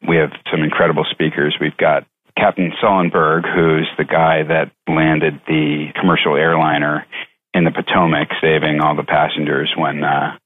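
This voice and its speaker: A man answering interview questions as a company executive, speaking conversationally in measured, halting phrases with repeats, over a tinny phone-like connection. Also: a bass voice, little pitch movement, no echo. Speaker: chief executive, interview guest.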